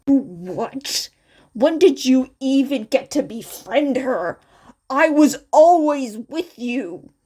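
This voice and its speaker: A woman's voice: sits high, expressive, takes it slowly, warm tone, exaggerated voice. A woman voicing a brash, incredulous teenage boy with a blunt, demanding manner, in a fan fiction story reading.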